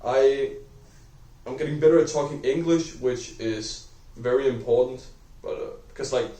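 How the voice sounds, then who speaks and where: A man's voice: medium pitch, steady tone, normal speed, dark tone, distant mic. Young Danish man rambling to camera. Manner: rambling, heavy use of "like", self-correcting. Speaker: vlogger. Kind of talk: unscripted talk to camera. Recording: echoey room, voice off-mic.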